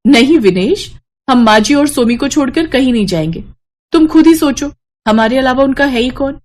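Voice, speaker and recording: A woman voicing a kind, patient young daughter-in-law in a cartoon story, gentle and encouraging. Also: high-pitched, animated, normal speed, voice pushed up. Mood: gently reassuring. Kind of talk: Hindi animated moral-story voice acting.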